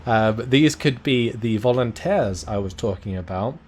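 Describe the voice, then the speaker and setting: A man commentating a strategy game match live, his voice hoarse and going as he talks. He calls the action in quick, excitable bursts and breaks into laughter at wild moments. His pitch sits in an ordinary middle range for a man. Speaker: game caster.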